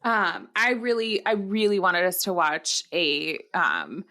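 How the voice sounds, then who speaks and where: A woman's voice: on the low side, expressive, slow, pushed and bright. A woman co-hosting a podcast in casual, self-deprecating chat. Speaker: podcast co-host.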